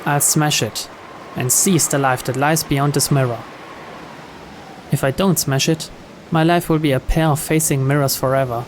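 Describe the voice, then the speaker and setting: A man's voice: fairly high pitch, fairly even intonation, normal speed. Man reading a story over background noise. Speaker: video game player reading the story aloud. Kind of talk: dramatic narration of a visual novel read aloud.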